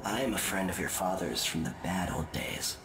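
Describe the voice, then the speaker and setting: Echoing low-voiced cryptic spirit man. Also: moderate pace, fairly bright tone, a little hiss or hum. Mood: cool, challenging. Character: cryptic otherworldly male spirit, coolly taunting. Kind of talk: video game voice acting. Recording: echoing, with prominent music behind.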